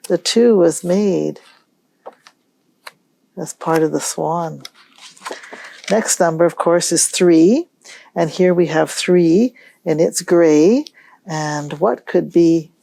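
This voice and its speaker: A woman hosting a storytime for young children, reading aloud in a gentle, musing way and pausing to pose questions. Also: lowish voice, gravelly, no echo. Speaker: children's library storytime host.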